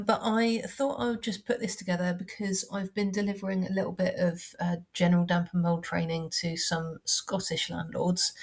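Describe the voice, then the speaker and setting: A woman with a heavy cold and a croaky voice, explaining housing law in a measured, practical way as a surveyor. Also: on the low side. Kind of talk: informal explainer talk.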